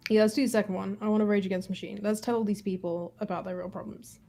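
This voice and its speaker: A woman's voice: mid-range voice, fairly even intonation, fast talker, warm tone. A woman casually talking through a game with a co-player, giving informal commentary and weighing choices. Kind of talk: casual playthrough chat.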